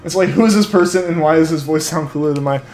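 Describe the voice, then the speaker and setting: A man's voice: a little high, fast talker, a little mellow, faint music behind. Rambling young man on a live stream. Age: young adult. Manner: rambling, filler-heavy, keeps saying like. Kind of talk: casual live-stream chat, reading viewer comments. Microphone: headset mic feeding back to headphones.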